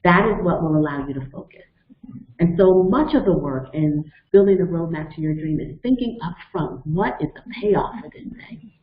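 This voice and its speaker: A woman's voice: low-pitched, lively intonation, normal speed. A woman in her fifties leading a motivational webinar, explaining step by step and telling personal stories in an earnest way. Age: 50s. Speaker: webinar facilitator and coach. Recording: echoey, phone-like narrow sound.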